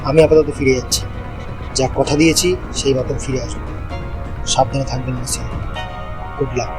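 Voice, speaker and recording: Music plays behind an actor as a helpful young man working as a guide. He has a mid-pitched voice and speaks carefully and advisingly, as in a suspense audio drama. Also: steady tone, brisk, natural voice, poor audio. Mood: cautioning, reassuring. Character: helpful, cautious young male local guide. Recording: voice over background music.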